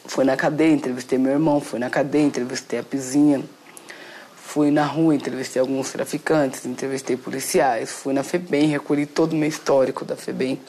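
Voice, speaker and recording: A woman's voice: a bass voice, steady tone, fairly quick, mellow. A woman from Brazil with a Brazilian accent, giving conversational personal testimony as an interviewee. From São Paulo, Brazil. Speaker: interviewee, writer telling her life story.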